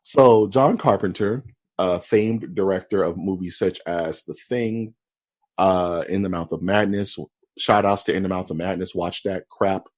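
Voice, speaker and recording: A man co-hosting a casual podcast, animated and joking, often calling his co-host "dude". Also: low voice, a little slow.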